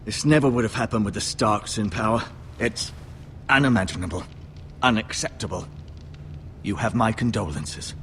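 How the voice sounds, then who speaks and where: An actor playing a grave older castle healer in a fantasy game, speaking in a measured, formal, musing manner with dry gravity. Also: male voice, lowish voice, fairly bright tone, a little hiss or hum, natural voice. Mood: indignant, solemn. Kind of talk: dramatic video game acting.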